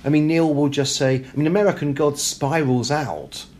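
A man's voice: a little high, moderate pace, a little mellow. A man from Britain, an author, talking reflectively and animatedly in an interview, emphatically acting out imagined questions and answers. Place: hotel. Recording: background room noise.

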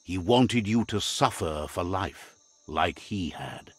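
A man narrating a game in a measured, solemn storytelling manner, retelling what a creature says. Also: low voice, animated, husky, no echo.

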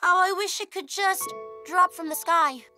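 A woman's voice: very high-pitched, a little slow, sharp tone, soft background music, full character voice. A woman voicing a small, eager young child in a children's cartoon, with simple, earnest, childlike phrasing. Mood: eager, determined. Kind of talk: children's cartoon dub acting.

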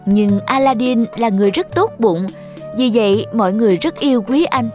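A woman's voice: on the high side, animated, moderate pace, darkish tone, faint music behind. A woman narrator reading a scripted fairy tale for children.

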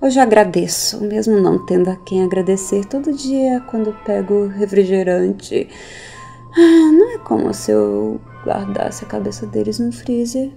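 A woman's voice: medium pitch, sing-song, normal speed, creaky, mellow, soft background music. An actress playing an unhinged, nihilistic woman in an audio drama, musing in a theatrical, sardonic way. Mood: mocking, unhinged. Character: unhinged woman recounting her cultish family's cruelty, mocking, nihilistic.

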